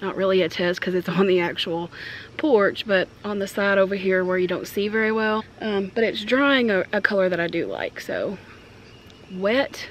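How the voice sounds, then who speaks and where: A woman in her thirties talks casually to her camera out on a porch, in a medium-pitched voice. She is informal and a bit self-deprecating, like a home vlogger chatting to viewers. Outdoor ambient noise sits faintly behind her. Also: animated, normal speed. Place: outdoors on a porch and wooden ramp.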